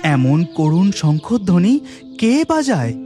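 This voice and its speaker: Compassionate cartoon deity , a woman acting the male god: unhurried, solemn, swooping intonation over music. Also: lowish voice, big pitch swings, dark tone, pitched below the performer's own voice. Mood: concerned. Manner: slow, solemn, concerned.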